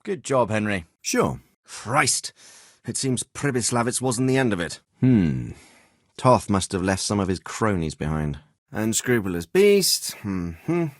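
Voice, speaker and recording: Dignified medieval lord, lively intonation. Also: male voice, lowish voice, dry recording, clean studio sound. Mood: proud, fatherly, then grim, disdainful. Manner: formal, deliberate, lordly phrasing. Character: dignified, measured medieval nobleman, fatherly royal hetman. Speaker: voice actor playing a game character. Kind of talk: scripted video game dialogue.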